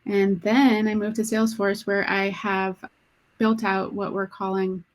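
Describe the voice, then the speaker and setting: A woman panelist on a video call, introducing her career in a matter-of-fact, brisk way. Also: mid-range voice, flat delivery.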